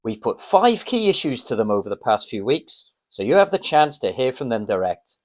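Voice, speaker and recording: A man's voice: mid-range voice, sing-song, normal speed. A man presenting a local election report in a scripted news narration style.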